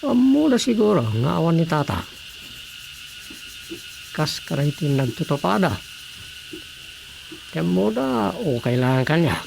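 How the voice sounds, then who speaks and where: A man's voice: on the high side, sing-song, measured pace, gravelly, long pauses, exaggerated voice. A man playing a gossiping, grumbling villager in a radio drama. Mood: complaining. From Philippines. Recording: hissy noisy radio recording.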